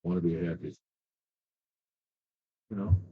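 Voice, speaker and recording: A man in his fifties telling his recovery story as a treatment client, halting, with many fillers and restarts. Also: low voice, steady tone, slightly rough, phone-quality sound. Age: 50s. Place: treatment center.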